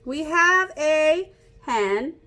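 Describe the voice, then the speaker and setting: Slow-paced, higher-voiced woman reading to children. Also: lively intonation, bright, forceful tone. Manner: simple explanations and questions addressed to young children. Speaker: teacher reading a picture book aloud to children. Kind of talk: interactive children's read-aloud.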